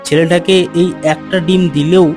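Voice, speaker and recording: Guy doing a gentle poor village farmer dad for a cartoon, kinda high voice, plaintive, music under it. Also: steady tone, moderate pace, close to an everyday voice. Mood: resigned. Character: poor, gentle farmer father, burdened and self-pitying. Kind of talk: cartoon moral story dialogue.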